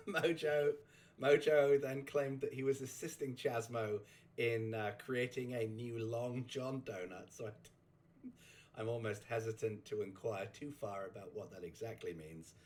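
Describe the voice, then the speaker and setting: A man's voice: mid-range voice, fairly even intonation, measured pace, darkish tone. A man hosting a casual recap chat, rambling and chuckling as he restarts his sentences, his voice just recovering from a sore throat. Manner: rambling, chuckling, self-interrupting. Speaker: host.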